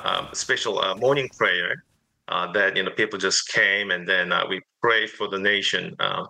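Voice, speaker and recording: A middle-aged man from South Korea speaks. His low voice has a gravelly fry and a sharp, bright edge. He answers as a pastor in an interview, hesitantly and with frequent fillers. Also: low-quality recording.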